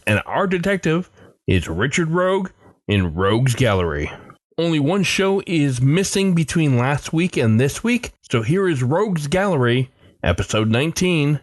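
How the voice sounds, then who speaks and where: Male podcast host, mid-pitched, animated scripted read, dry close recording. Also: no room sound.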